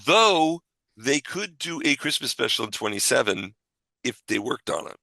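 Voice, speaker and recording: A man with a bright, forceful voice and middling pitch co-hosts a casual fan discussion. He thinks aloud, halting and correcting himself as he goes. The sound is close and very dry. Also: sing-song, gravelly, dry recording. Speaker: podcast co-host.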